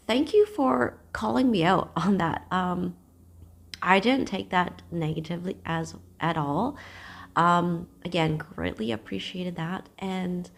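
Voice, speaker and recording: Hoarse young woman chatting at home for her knitting show, low-ish voice, slow and gentle. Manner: gentle and unhurried, with thoughtful pauses. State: hoarse, losing her voice from a cold. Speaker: knitting podcast host. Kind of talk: casual chat to camera. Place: at home, seated.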